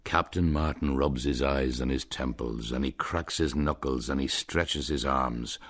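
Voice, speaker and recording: Very deep-voiced Irish male narrator. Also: a little slow, fairly bright tone. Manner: wry, humorous storytelling. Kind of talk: scripted first-person narration.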